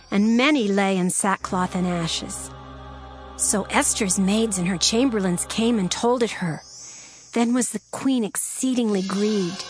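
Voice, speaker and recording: A woman narrating a dramatized scripture reading in a measured, solemn way. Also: on the high side.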